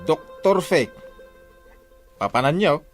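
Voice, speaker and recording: A man acts a lazy, gluttonous loudmouth of a village husband in a radio comedy. His Ilocano-accented voice sits fairly high and swoops widely, with exaggerated delivery. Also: sing-song, slow, soft background music. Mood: mocking.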